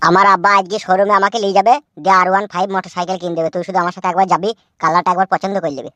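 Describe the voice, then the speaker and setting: A man plays an eager young village lad from a comedy cartoon. He talks very fast, naive and full of brag, pitched far below the shrill cartoon wife. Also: flat delivery, sharp tone, no echo. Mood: eager, boastful.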